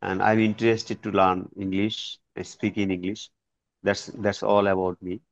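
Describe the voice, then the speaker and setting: Deep gravelly slow-talking man. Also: flat delivery, dry recording. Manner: halting, many fillers. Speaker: student. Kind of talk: self-introduction in class. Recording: dull lo-fi call audio.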